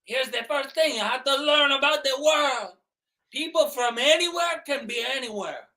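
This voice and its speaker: A man's voice: extremely high pitch, moderate pace, pushed and bright, recorded from a distance. A man voicing an eager, worldly shopkeeper from Cuba in improvised comedy, instructive and salesmanlike.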